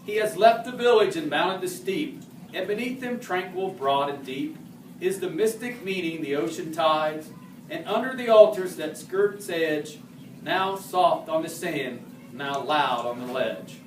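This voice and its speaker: A man's voice: on the high side, lively intonation, unhurried. A man reciting a narrative poem as an amateur reader, occasionally stumbling over harder words and correcting himself. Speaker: amateur reader in the role of a historical horseman. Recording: roomy, distant mic, faint background noise.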